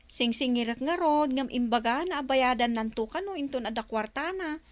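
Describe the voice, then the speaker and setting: High-pitched grumbling Ilocano storekeeper. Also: female voice, expressive, normal speed, no room sound. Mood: complaining. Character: grumbling village storekeeper woman, wary of credit. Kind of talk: comedic radio drama acting.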